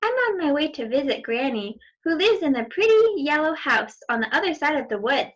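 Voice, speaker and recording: A woman's voice: high voice, big pitch swings, brisk, muffled recording, pitched above the performer's own voice. A woman voicing a sweet, naive, polite little girl in a storybook, earnest and wide-eyed. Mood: sweet, innocent. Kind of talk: storybook character voice.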